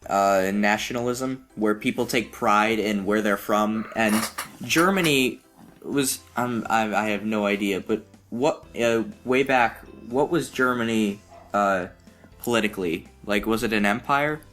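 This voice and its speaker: A man in a casual podcast chat, speaking haltingly with many fillers. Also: lowish voice, fairly bright tone, faint music behind. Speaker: podcast host.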